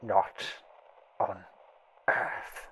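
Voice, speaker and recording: A man voicing a dying old aristocrat, bitter and secretive, with a harsh, breathy voice and slow, labored speech in an audiobook horror tale. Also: fairly bright tone, a little hiss or hum, rough recording. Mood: bitter, weary. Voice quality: harsh, breathy rattling whisper.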